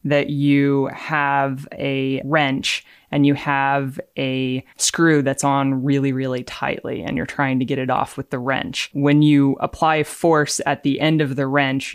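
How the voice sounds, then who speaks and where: A woman's voice: a bass voice, little pitch movement, measured pace, few pauses, clean tone, dry recording. A woman reading aloud and explaining things as an engineer, in a dry, matter-of-fact manner. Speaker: engineer and podcast contributor.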